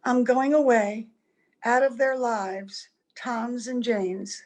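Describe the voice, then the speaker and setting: A woman's voice: fairly high pitch, takes it slowly, a little mellow. A woman playing an anguished wife and mother who can never age, earnest and pleading, in old-time radio drama style. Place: at home, recorded over a video call.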